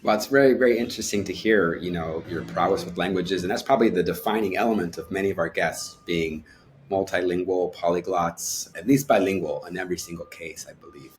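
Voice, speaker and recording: Male interview host, lowish voice, friendly and measured, faint background hiss. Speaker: podcast host. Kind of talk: interview hosting.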